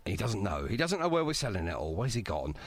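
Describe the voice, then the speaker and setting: A man's voice: lowish voice, sing-song, quick pace, a little hiss or hum. A man hosting a radio interview in a chatty, jokey, effusive way. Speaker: radio show host. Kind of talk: casual, bantering radio interview.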